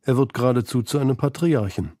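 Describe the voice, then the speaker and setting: A male narrator of a scripted radio feature. His voice is mid-range and soft-edged, with a dark, mellow tone. He reads in a measured, literary way, recorded very dry. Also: medium pitch, no echo.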